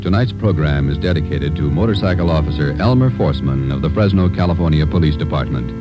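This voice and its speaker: A man's voice: very deep voice, animated, a little fast, loud music behind the voice. A man announcing formally in a solemn 1940s radio style. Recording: dull old radio recording.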